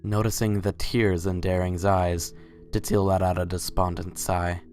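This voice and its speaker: A man's voice: low-pitched, little pitch movement, unhurried, darkish tone, faint music behind. A man reading scripted story narration as the narrator of a dramatic reading.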